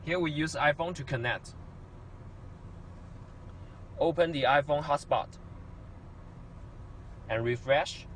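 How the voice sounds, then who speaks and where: A man's voice: on the high side, slow pace, long pauses, a bit bright, a little hiss or hum. A man giving a scripted product demonstration with non-native English phrasing.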